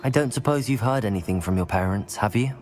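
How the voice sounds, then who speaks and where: A man voicing a calm, inquisitive teenage student in a fantasy video game, measured and polite. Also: lowish voice, faint music behind, close to an everyday voice. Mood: friendly. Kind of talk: naturalistic video game cutscene acting.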